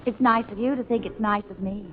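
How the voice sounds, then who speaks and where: An actress playing a sarcastic, dry-witted secretary in a vintage radio comedy. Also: female voice, medium pitch, fairly even intonation, brisk, close to an everyday voice. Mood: wry, friendly. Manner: wry, scolding, dry-witted. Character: sharp-tongued, sarcastic female secretary. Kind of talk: old-time radio comedy acting. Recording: tinny vintage radio recording, faint noise.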